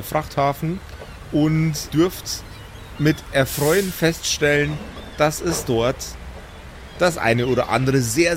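A man narrating a live improvised tabletop game as game master, setting scenes in an unhurried way with small asides. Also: on the high side, slight background noise.

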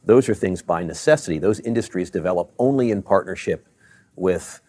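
Middle-aged exec from Maryland, very low voice, dry close sound, measured delivery with fillers. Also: male voice, expressive, mellow, dry recording. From Baltimore, Maryland. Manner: measured, many fillers and restarts. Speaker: tech company CEO guest. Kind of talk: business interview answers.

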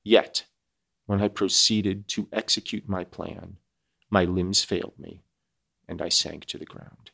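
A man voicing an earnest, anguished outcast creature in a solemn, formal, measured storybook reading. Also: sits low, steady tone, vocal fry, bright, forceful tone, heavily acted. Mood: tense, determined.

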